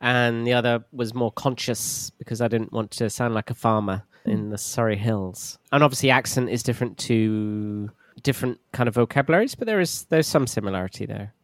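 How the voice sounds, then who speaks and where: A man with a southern English accent, a guest teacher chatting in a relaxed, slightly halting way. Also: medium pitch, no echo, studio quality. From southern England. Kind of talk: casual podcast conversation.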